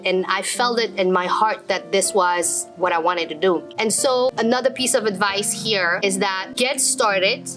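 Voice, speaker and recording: Woman in her thirties, raised in Belgium, medium pitch, unscripted vlog storytelling, explanatory, music behind. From Belgium (born in Morocco). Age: 30s. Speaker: travel vlogger and business coach. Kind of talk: unscripted personal story to camera.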